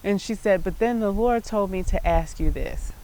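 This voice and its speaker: A middle-aged woman with an American accent speaks as a show host telling personal stories. Her voice sits at an average pitch. She talks conversationally and with emphasis, often checking in with the listener. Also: moderate pace, dark tone, rough recording. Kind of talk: personal storytelling about life and faith.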